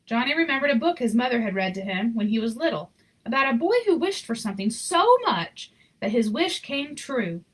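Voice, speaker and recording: A woman reading a children's picture book aloud in an expressive, informal way with chatty asides. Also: on the high side, a little fast, breathy edge, poor audio. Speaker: story reader.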